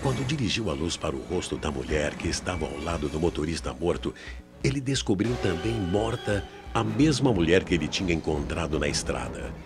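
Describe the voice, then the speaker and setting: A Brazilian man with an extremely deep voice narrates a radio mystery. His delivery is suspenseful and highly expressive, with music behind him. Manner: suspenseful, deliberate phrasing. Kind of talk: scripted dramatic mystery narration. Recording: 1990s AM radio drama with music bed.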